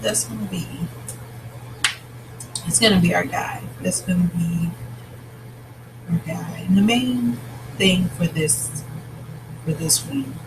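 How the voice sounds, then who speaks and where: A novelist with a raspy, scratchy voice talks through a story outline in a casual vlog, thinking aloud with pauses and restarts. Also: medium pitch. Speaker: novelist outlining their book.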